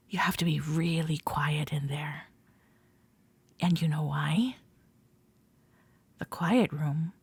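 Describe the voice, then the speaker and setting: A woman playing a friendly, teasing club member giving a tour in a roleplay audio, speaking to the listener in a gentle, reassuring way. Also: fairly low pitch, husky, no room sound, full character voice. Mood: hushed.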